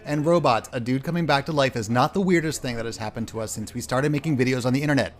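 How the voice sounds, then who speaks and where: A man playing an easygoing, matter-of-fact family man with a casual deadpan delivery in a comedy web drama. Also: medium pitch, fast, close to an everyday voice.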